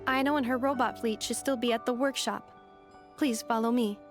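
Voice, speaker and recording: A woman's voice: on the high side, steady tone, moderate pace, soft background music, close to an everyday voice. A woman voicing an earnest female robot companion in a video game, speaking in clipped, formal, machine-like phrases. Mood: flat, factual. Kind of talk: video game character acting.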